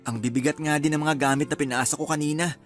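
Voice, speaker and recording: A man's voice: a little high, fairly even intonation, fast, soft background music, close to an everyday voice. An actor with a Filipino accent playing a devoted, affectionate young husband and father in a radio drama. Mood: relaxed, affectionate. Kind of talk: Tagalog radio drama acting.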